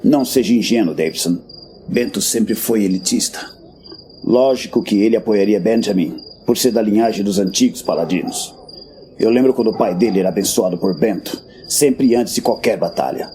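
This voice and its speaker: Man playing a grim, deep-voiced veteran warrior. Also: normal speed, mellow, slight background noise, close to an everyday voice. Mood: stern. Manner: blunt, solemn, world-weary. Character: grim, weary veteran male warrior master, bitter and blunt. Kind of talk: fantasy audio drama acting. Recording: background music.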